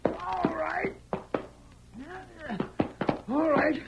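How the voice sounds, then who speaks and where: An actor playing a frantic, despairing townsman with a creaky voice in an old radio western. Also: very high voice, steady tone, extremely slow, exaggerated voice. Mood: frantic, pleading. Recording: very noisy, muffled old radio.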